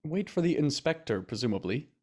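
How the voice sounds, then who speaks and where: An actor playing a dry, world-weary doctor in a period mystery radio play, speaking formally. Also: male voice, on the high side, sing-song, a little fast, a little mellow, breathy edge.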